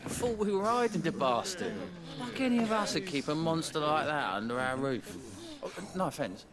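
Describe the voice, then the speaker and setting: Male actor, indignant villager, blunt, fairly high, lively intonation, crowd behind, game dialogue. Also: normal speed, natural voice. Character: indignant, blunt common villager, adult man. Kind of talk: video game voice acting.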